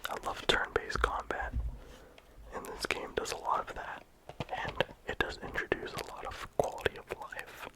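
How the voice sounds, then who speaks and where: A game reviewer talking in a soft ASMR whisper throughout, casual and rambling with many "like" fillers. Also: poor audio.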